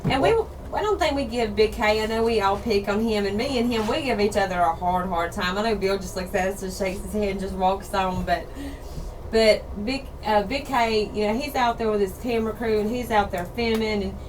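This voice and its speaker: A woman co-hosting a radio outdoors show in casual chat, slightly hoarse from losing her voice. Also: mid-range voice, normal speed. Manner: chatty, laughs easily, repeats details. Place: radio studio. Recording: distant mic with background noise.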